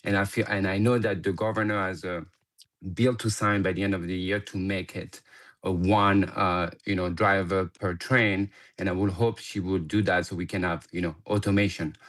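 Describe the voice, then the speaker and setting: A man with a French accent speaks in a low voice at a public meeting. He speaks haltingly, stopping on "uh" and "you know" and restarting his sentences as he builds his points. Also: steady tone, a bit bright. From France. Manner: halting, with many fillers and restarts. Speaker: public commenter. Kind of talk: public comment at a board meeting.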